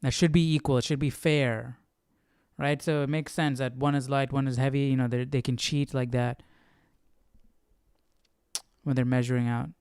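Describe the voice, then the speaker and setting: A man with a slightly low, smooth, clear voice talks through a Bible study casually, recorded close and dry. He reads aloud and adds his own comments, often thinking out loud and questioning himself. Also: level tone, normal speed, pauses often, no room sound. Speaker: host of a Bible study stream.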